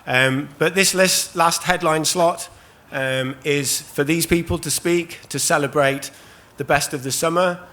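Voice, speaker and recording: A man, hoarse from losing his voice, hosts a live conference panel in a loose, chatty way with many ums and restarts. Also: a little high, fairly even intonation, unhurried, hardly any pauses, sharp tone. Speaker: panel host. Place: conference stage at a trade show.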